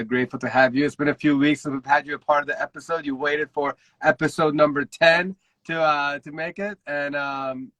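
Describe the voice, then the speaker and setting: A man's voice: on the high side, fairly bright tone, no room sound, low-quality recording. A man hosting a casual live show, thanking guests and handing over to them in an upbeat way. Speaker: host.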